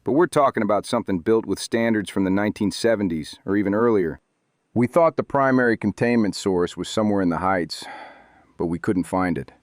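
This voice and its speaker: An actor playing a weary, guarded older ex-activist who talks tersely and sighs heavily. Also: male voice, on the low side, brisk, no room sound, studio quality, natural voice. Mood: grave. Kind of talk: audio drama acting.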